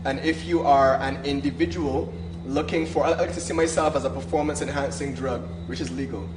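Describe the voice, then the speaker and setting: A young man speaking as a guest, pitching his coaching business in a polished, confident way. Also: steady tone, brisk. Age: young adult. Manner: polished, confident sales talk. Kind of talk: promotional pitch in an interview. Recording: echoey room with faint noise.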